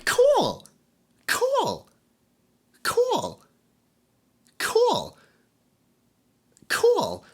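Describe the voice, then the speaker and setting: A man's voice: extremely high pitch, wildly swooping pitch, drawn-out words, long pauses, exaggerated voice. A man voicing a nervous, lonely demon host who stammers and giggles through an audio roleplay. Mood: excited, chatty.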